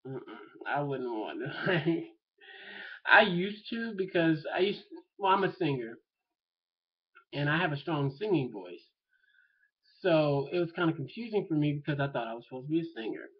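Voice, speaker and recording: A man's voice: on the high side, very animated, normal speed, slightly rough. Rambling man on a dull-sounding vlog. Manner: rambling, thinking aloud with many fillers. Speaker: vlogger. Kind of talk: casual opinion vlog.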